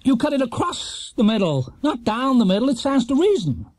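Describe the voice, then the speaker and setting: A man playing a smug male quiz show master of ceremonies in a children's storybook, with a drawn-out showman's delivery. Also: very high voice, very animated, a little mellow, no room sound, pitched above the performer's own voice, full character voice. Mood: flustered.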